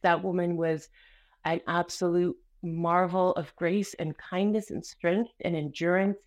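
Low-voiced woman telling lively stories. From Canada. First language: Spanish. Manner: energetic, expressive storyteller. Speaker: author and podcast guest. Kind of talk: casual interview conversation.

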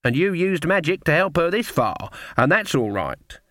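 A man voicing a sharp, stubborn old female witch with rustic, plain-spoken speech in a storybook reading. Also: fairly high pitch, sing-song, moderate pace, dry recording, clean studio sound, voice pushed up. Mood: stern, firm.

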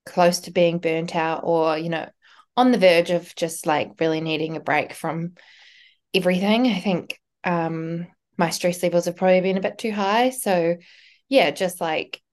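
A woman's voice: on the low side, a little mellow. A young Australian woman co-hosting a podcast, rambling casually with plenty of "um" and "like". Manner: rambling, lots of "um" and "like". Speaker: podcast co-host.

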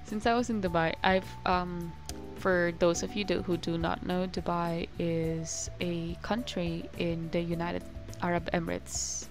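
A woman's voice: lowish voice, level tone, measured pace, over music. A young woman co-hosting a podcast in casual chat, giggly and rambling, breaking into playful rants. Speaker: podcast co-host.

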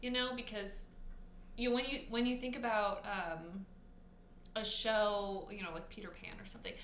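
A woman's voice: mid-range voice, fairly even intonation, moderate pace, fairly bright tone, slight background noise. A young adult woman, a teacher co-hosting a podcast, chatting casually with lots of fillers and frequent laughs. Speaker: podcast co-host. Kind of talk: casual chat. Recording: echoey room with faint ambience.

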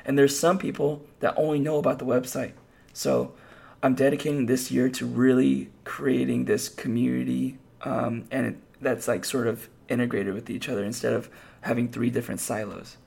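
A man with a hoarse, raspy voice, hosting a podcast and chatting casually on his own, rambling with lots of fillers and restarts. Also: mid-range voice, level tone, moderate pace, darkish tone. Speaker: podcast host. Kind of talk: casual solo podcast talk.

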